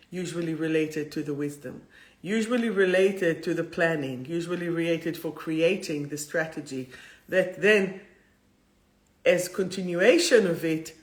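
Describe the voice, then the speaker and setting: A woman with an Israeli accent hosting a chatty livestream spiritual talk in an effusive, playful, rambling manner. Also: lowish voice. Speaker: host of a livestream soul talk.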